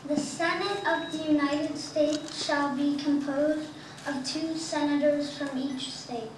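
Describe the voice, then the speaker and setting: A woman with a high, flat voice reads formal text slowly and haltingly, in a very echoey room. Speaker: guest reader. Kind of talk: halting reading aloud of a formal document. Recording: echoey, distant, clearly noisy.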